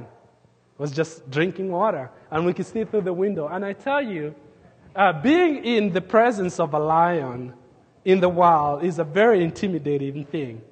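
A man from Kenya, preaching a sermon and telling a story with fillers and restarts, sometimes dropping his voice to a hush. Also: high voice, in a large hall. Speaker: pastor.

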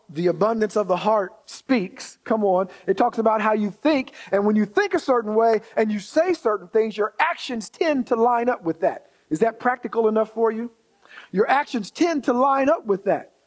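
A man with a strikingly high voice delivers a sermon. He is emphatic and returns to key phrases for weight. In between, he talks to his listeners directly, with conversational asides and rhetorical questions. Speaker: preacher. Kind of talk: sermon to a congregation.

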